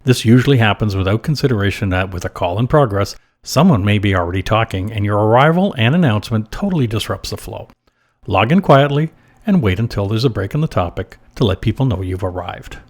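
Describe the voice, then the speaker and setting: A man hosting a short advice podcast, reading scripted tips in a measured, instructional manner. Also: lowish voice, expressive.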